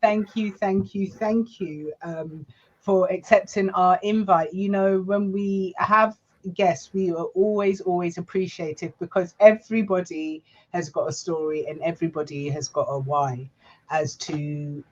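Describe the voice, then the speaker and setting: A woman's voice: on the low side, fairly even intonation, unhurried. Effusive British-accented female co-host, lo-fi call audio. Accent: British English. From Ghana. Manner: warm, effusive, gushing.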